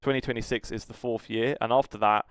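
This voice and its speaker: A man's voice: medium pitch, steady tone, quick pace, a bit bright, clean tone, no echo, clean studio sound. A man with a British accent co-hosting a casual esports podcast, talking in a rambling, hesitant way that trails off. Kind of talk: casual esports news discussion.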